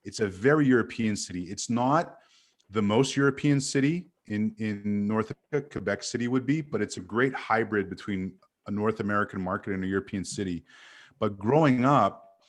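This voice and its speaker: A man from Montreal, an animated guest who tells stories and corrects himself as he chats on a video call. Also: fairly low pitch, moderate pace. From Canada. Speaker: guest sales speaker. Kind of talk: casual video-call chat.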